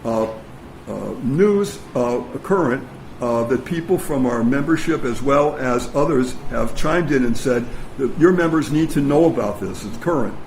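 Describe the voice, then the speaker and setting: A man acts as host and interviewer at a live meeting. He speaks informally and haltingly, repeating phrases as he goes. His pitch is average for a man, and there is slight noise behind him. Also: a little mellow, low-quality recording. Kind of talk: informal spoken introduction and interview. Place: meeting room with an audience.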